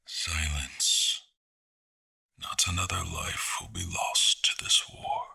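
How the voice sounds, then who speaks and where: A man playing a solemn steward of a fallen world, giving grave, deliberate, often hushed dramatic narration in an audio drama. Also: deep voice, huge pitch swings, vocal fry, husky, whispered, heavily acted. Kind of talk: dramatic first-person scripted narration. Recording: music under the voice. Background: dramatic music.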